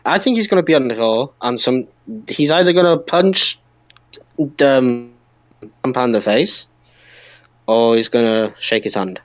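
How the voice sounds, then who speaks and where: A man's voice: mid-range voice, a little slow, pauses often, dry recording. Man chatting casually, dull dry sound. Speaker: podcast co-host. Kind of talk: casual wrestling podcast discussion.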